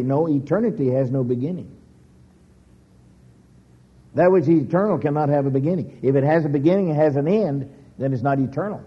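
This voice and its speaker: A man preaching a sermon slowly and emphatically, with dramatic pauses and sudden rises in pitch. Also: slightly rough. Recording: dull lo-fi recording.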